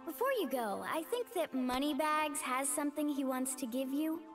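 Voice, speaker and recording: A woman's voice: high-pitched, lively intonation, normal speed. An actress voicing a friendly, earnest young faun woman in a video game. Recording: game audio with music behind.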